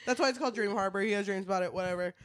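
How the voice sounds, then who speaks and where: A woman co-hosting a podcast, chatting casually about books in a playful, sweary way with animated retellings. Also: medium pitch, racing, no room sound, studio quality. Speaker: podcast co-host.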